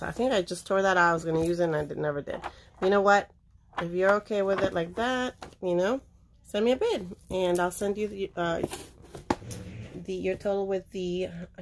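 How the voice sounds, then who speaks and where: A woman talks casually with a slightly low, gravelly voice, rambling and trailing off mid-sentence. She sounds like she is leaning over a table of books, with a faint hiss behind her. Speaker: seller showing books for auction. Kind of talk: casual show-and-tell.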